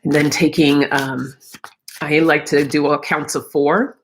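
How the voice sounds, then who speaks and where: Middle-aged female livestream host, spiritual guidance chat; tired, froggy throat with heavy vocal fry, encouraging direct address. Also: low-pitched, little pitch movement, unhurried, a little hiss or hum, poor audio. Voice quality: slightly froggy, croaky. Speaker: host of a live oracle card reading.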